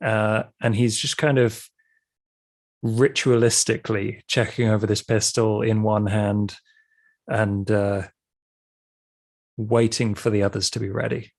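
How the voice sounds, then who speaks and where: A man playing a tabletop roleplaying game, describing his character in a halting, deliberate way. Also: lowish voice, flat delivery. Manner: halting, deliberate, many hesitations.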